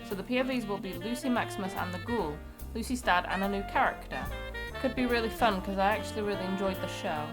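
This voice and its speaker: Female co-host, informal, drily jokey, reads aloud and chats, clear music bed. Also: mid-range voice, quick pace, rough recording. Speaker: co-host reading out viewer comments. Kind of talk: casual chat and reading comments aloud. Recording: music clearly playing behind. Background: background music.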